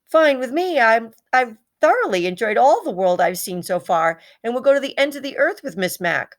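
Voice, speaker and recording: A woman's voice: fairly high pitch, big pitch swings, normal speed, pitched above the performer's own voice. A woman voicing a small, proud, indignant hamster who tells its storybook tale dramatically. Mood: dismayed, eager. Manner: dramatic, emphatic.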